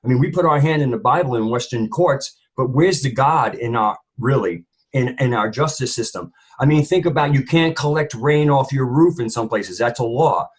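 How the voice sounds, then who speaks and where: A man's voice: mid-range voice, expressive, normal speed, few pauses, breathy edge. A man speaking as a panelist in an opinionated discussion, rambling and emphatic, often repeating phrases for weight.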